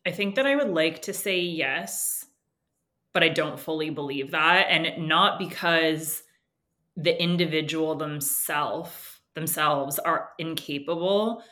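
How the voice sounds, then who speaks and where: A woman's voice: deep voice, slow pace, pushed and bright. A woman from Canada, a nervous system coach, answering interview questions candidly, thinking aloud with frequent restarts. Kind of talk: reflective interview talk.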